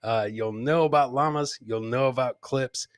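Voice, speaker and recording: A man hosting a casual, boisterous comedy show with loud, joking banter. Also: mid-range voice, moderate pace, dry recording, clean studio sound. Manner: profane. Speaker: podcast host.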